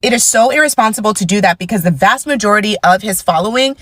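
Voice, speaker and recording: A woman's voice: medium pitch, expressive, fast talker, a bit bright, no room sound. A woman giving emphatic social media commentary, repeating points for effect in an exasperated way. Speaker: commentary creator.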